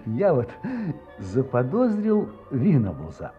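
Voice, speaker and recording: A curious, friendly narrator in a radio play. He speaks slowly with animated intonation. The recording is a little dull, with faint music underneath. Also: medium pitch. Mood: curious, amused. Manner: unhurried, expressive. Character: curious, friendly male narrator, the inspector's companion. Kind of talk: Russian audio drama acting.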